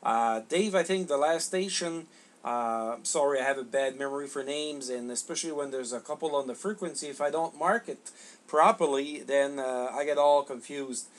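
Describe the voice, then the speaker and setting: A middle-aged man making casual ham radio contact, halting and repeating words as he goes. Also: medium pitch. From Canada. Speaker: amateur radio operator. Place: small home radio room, indoors.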